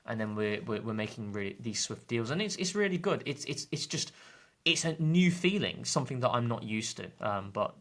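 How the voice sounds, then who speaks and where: A man's voice: medium pitch, big pitch swings, fairly quick, a bit bright. A man speaking as a podcast guest and football fan, informal and discursive, repeating words as he thinks aloud.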